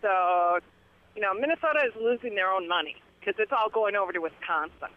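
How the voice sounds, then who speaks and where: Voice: medium pitch, a little fast. A caller from the upper Midwest giving an opinion casually on a radio call-in. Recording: dull lo-fi phone call.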